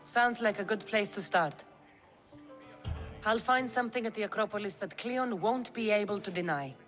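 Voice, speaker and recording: An actress playing a bold, determined ancient mercenary in a video game, speaking directly. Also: female voice, medium pitch, normal speed, fairly bright tone, faint music behind, lightly acted. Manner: direct, resolute.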